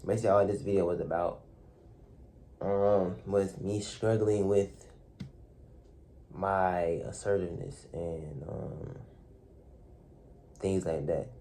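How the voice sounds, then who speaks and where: A young man speaks quietly in a low, mellow voice. He talks in a soft, hesitant way, full of fillers and restarts, reflecting aloud to a camera in a dark room. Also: steady tone, takes it slowly, gravelly, long pauses, slight background noise. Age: young adult. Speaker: vlogger.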